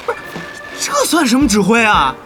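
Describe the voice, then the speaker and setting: A man's voice: sits high, huge pitch swings, normal speed, over music. An actor playing a young male online gamer in an audio drama, chummy and bargaining, easily exasperated and full of interjections. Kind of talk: Chinese audio drama acting.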